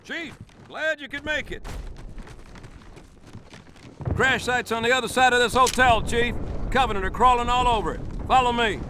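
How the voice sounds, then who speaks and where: Combat Marine, businesslike, urgent clipped delivery, high strained pitch, heavy battle noise, game scene. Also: male voice, moderate pace, pauses often. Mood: urgent briefing. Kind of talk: video game voice acting. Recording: very loud rumbling battle noise behind.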